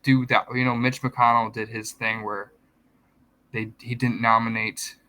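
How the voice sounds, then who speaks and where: A man's voice: medium pitch, little pitch movement, a little slow, sharp tone. A man in casual podcast chat, explaining politics at length and correcting himself as he goes. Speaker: podcast co-host.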